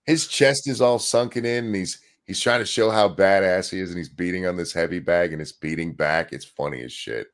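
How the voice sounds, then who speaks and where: A man's voice: deep voice, brisk, hardly any pauses. A man hosting a casual livestream chat, talking in a loose, rambling way with restarts. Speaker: host.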